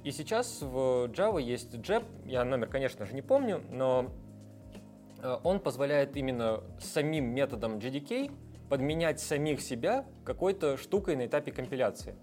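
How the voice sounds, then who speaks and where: A man's voice: mid-range voice, lively intonation, normal speed, a little mellow, faint music behind. A man guest in a casual interview, explaining technical ideas at length with frequent hesitation sounds. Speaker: guest, software developer.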